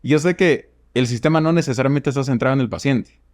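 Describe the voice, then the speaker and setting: A man from Guatemala with a Guatemalan accent, a surgeon speaking as a podcast guest, reflective and measured with frequent fillers. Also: medium pitch, a little mellow, no echo, clean studio sound. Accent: Guatemalan Spanish. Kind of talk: podcast interview.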